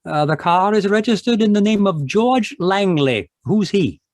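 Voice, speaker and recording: An actor playing a tipsy, loyal sidekick in a 1940s-style radio detective drama. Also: male voice, high voice, animated, measured pace, dry recording. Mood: helpful, wry. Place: home room, live video call performance.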